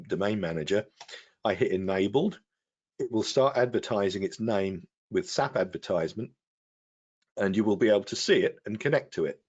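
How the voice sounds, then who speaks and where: A man with a fairly low voice explains a technical product as a guest expert. He talks through software steps in a halting, explanatory way. The audio is somewhat dull and lo-fi, like a web stream.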